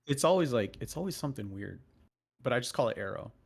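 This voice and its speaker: A man's voice: mid-range voice, animated, fast talker, creaky, husky. A young American man co-hosting a podcast, chatting casually and telling loose stories with plenty of swearing. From United States. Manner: loose storyteller, swears freely. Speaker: podcast co-host. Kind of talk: casual chat.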